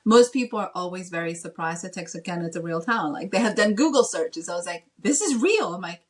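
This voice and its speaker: A woman from the Dominican Republic and Massachusetts chatting casually as a guest author, with an animated storytelling manner. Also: on the low side, fast. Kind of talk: casual interview chat.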